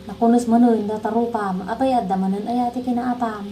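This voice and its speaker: A woman's voice: fairly high pitch, fairly even intonation, brisk, warm tone, a little hiss or hum, natural voice. A woman playing a blunt best friend in a comedy drama, chiding and handing out advice. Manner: chiding, advising. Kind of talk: Ilocano comedy drama acting.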